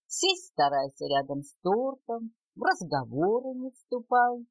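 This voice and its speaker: An older woman reading children's poems aloud as a grandmother would, with measured, expressive phrasing, on a home cassette recording. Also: fairly high pitch, breathy edge, no room sound, poor audio. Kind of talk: expressive children's poetry recitation.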